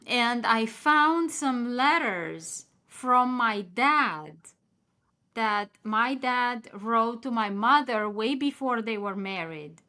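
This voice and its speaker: A woman speaks slowly in a high, bright voice. She hosts a podcast conversation and thinks aloud in long, reflective sentences. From Romania. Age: 50s. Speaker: podcast host. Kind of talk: casual interview chat.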